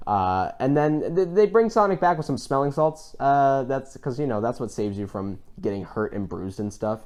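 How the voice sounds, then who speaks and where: A man co-hosting a podcast, casually reviewing a film in an animated, rambling retelling. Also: mid-range voice, moderate pace. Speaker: podcast co-host.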